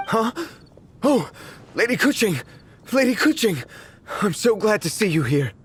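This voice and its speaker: A man's voice: sits high, very animated, measured pace, a little hiss or hum. An actor playing an anxious young male fireworks organizer, flustered and worried. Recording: game dub with faint background music.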